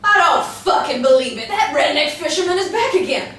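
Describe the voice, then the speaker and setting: A woman's voice: high-pitched, lively intonation, fairly quick, fairly bright tone. A woman in her thirties at a script reading, playing a blunt, foul-mouthed, wealthy wife. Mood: outraged. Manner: blunt, combative. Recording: echoey room, distant mic, faint noise.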